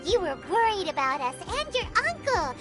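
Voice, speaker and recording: A woman voicing a tiny, chatty, indignant fairy companion in a video game, with a squeaky childlike voice. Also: sing-song, normal speed. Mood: triumphant, teasing. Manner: refers to self in third person, exclamatory. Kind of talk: anime-style video game voice acting. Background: game music.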